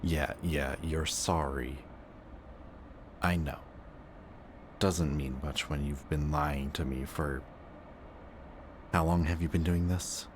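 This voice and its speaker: A man playing a wounded, protective antihero who insists he is a villain, in an emotional, intimate audio roleplay with a halting delivery. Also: a bass voice, steady tone, slight background noise. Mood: hurt, reproachful. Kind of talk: emotional audio roleplay acting, addressing the listener.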